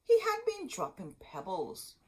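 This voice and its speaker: A woman's voice: mid-range voice, husky. A woman hosting a children's storytime, reading a picture book aloud expressively and talking directly to young listeners. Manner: animated, deliberate, speaking directly to young listeners.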